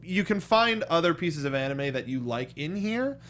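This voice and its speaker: A man's voice: fairly high pitch, animated, moderate pace, a bit bright. An American man co-hosting a review show, talking casually with slangy, enthusiastic fan talk. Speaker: co-host of a film review show. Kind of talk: casual panel chat and review.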